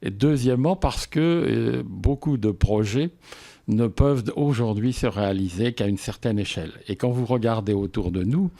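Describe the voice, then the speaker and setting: A man speaking as a local official in a radio interview, explaining things in measured, formal phrasing. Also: medium pitch, creaky, warm tone.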